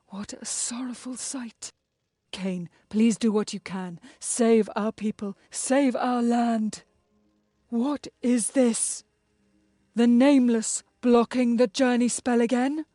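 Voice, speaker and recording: Dignified fantasy game queen, mellow and dry, grave concern for her people, faint music underneath. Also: fairly high pitch, no echo. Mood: grave, sorrowful. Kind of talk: dramatic video game voice acting.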